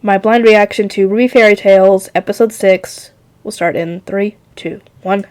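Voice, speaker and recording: A woman's voice: mid-range voice, steady tone, moderate pace, darkish tone. A woman hosting a reaction video, giving casual off-the-cuff commentary.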